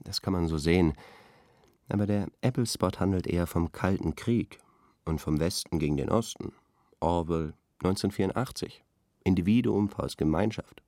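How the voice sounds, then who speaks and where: An earnest male art critic in a radio play discussion. He speaks in a low, dry-recorded voice and lectures with pompous certainty. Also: normal speed, mellow, no room sound. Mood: measured, argumentative. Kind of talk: radio drama played as studio discussion.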